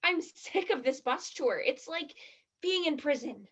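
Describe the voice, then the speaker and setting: High-voiced, fed-up young tourist. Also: female voice, expressive, unhurried, lo-fi sound. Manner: complaining, exasperated. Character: disgruntled, sarcastic young female tourist sick of touring. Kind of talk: stage play acting.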